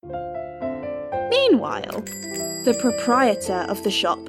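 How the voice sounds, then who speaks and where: A prim, commanding storyteller narrates a literary tale for radio. The voice sits fairly high and moves slowly and deliberately, with dramatic weight, over clearly audible music. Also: female voice, huge pitch swings, full character voice. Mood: measured storytelling. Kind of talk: theatrical scripted narration.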